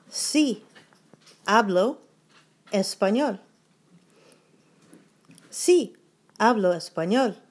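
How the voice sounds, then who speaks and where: A woman leads a repeat-after-me phrase drill at a very slow, deliberate pace. Her pitch swings widely and musically from phrase to phrase. She says each line three times with careful pauses. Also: slightly rough. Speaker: language teacher.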